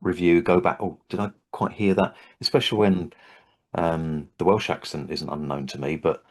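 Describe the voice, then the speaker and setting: A man with a very deep, low voice, older, talking through his training work in a relaxed interview. He restarts his sentences often and thinks aloud, hesitant but friendly in tone. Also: normal speed. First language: English. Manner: thoughtful, hesitant with frequent restarts. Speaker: Havening trainer and host. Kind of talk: casual interview chat.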